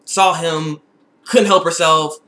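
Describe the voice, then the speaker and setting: A young man giving a casual, excitable episode recap, repeating phrases for emphasis. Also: on the high side, slow, a little hiss or hum. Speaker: TV episode reviewer. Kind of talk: casual video recap and review.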